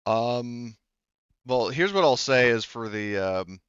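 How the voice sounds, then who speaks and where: Male game master, casual and halting, rambling through rulings; smooth lower voice, slightly dull sound. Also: lively intonation, fairly bright tone, no room sound. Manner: hesitant, rambling. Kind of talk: casual tabletop game narration.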